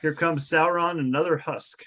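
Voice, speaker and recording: A man's voice: fairly high pitch, fairly even intonation, normal speed, background noise. A man reading stage directions as narrator at a table read, descriptive and drily amused.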